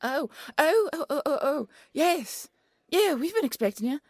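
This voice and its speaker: A young woman playing a shy, flustered bunny-girl receptionist in an audio roleplay, speaking in a soft, breathy voice with a nervous, apologetic stammer. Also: high-pitched, sing-song, a little slow, no echo, studio quality, some chatter behind. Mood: panicked, flustered. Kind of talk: audio roleplay character acting.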